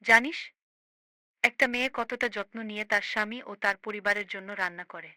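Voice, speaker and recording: A woman's voice: medium pitch, normal speed, bright, forceful tone, no echo, studio quality, lightly acted. A woman playing a firm, caring older sister in a cartoon, lecturing her grown brother in an emphatic, preachy way. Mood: reproving. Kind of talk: amateur cartoon voice acting.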